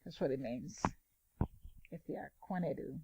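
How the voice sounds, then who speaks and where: A woman guest on a casual interview show, explaining things enthusiastically with pauses. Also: deep voice, noisy background. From Ghana, father's side.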